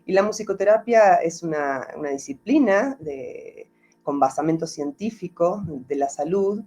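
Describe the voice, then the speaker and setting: Woman, Argentine Spanish accent softened by Mexico, music therapist guest on a video call; thoughtful, searching for words. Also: fairly low pitch, lively intonation, a little slow. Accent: Argentine Spanish, softened toward Mexican. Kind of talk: reflective interview answers. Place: home in Mexico.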